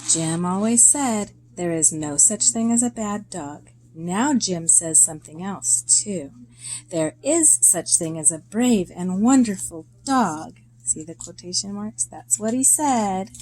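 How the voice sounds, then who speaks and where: A woman reading a children's story aloud in a deliberate, expressive way, in short phrases with pauses. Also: mid-range voice, a little hiss or hum, rough recording.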